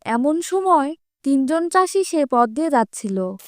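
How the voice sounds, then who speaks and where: A woman's voice: sits high, sing-song, a little slow, dry recording, studio quality. A woman narrating a scripted children's fable as a storyteller.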